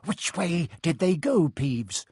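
A man's voice: a little high, very animated, takes it slowly, a little mellow, breathy edge, no echo, studio quality, pitched above the performer's own voice, heavily acted. Man putting on an irritable, menacing caretaker. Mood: impatient, angry. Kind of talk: audiobook character voice.

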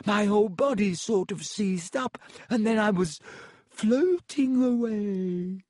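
A man's voice: high voice, takes it slowly, darkish tone, no echo, studio quality, voice pushed up. A man voicing a self-pitying ghost of a teenage schoolgirl, wailing and dramatic, relishing her gloomy tale.

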